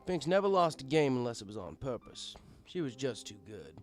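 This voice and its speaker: A man's voice: mid-range voice, very animated, moderate pace, faint music behind. A man reading a first-person story aloud as narrator, in a conversational, wry storytelling style.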